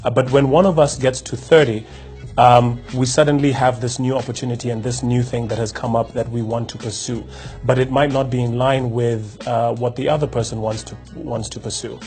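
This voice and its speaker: A man's voice: medium pitch, steady tone, a little fast, a little mellow, faint music behind. A man hosting a motivational talk, speaking reflectively with frequent restarts and rhetorical questions. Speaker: host. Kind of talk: casual motivational talk to camera.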